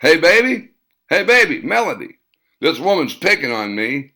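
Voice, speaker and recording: A man voicing a big, easygoing trucker with a booming voice in an audiobook. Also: very animated, normal speed, sharp tone, exaggerated voice. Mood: hollering. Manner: booming, coaxing.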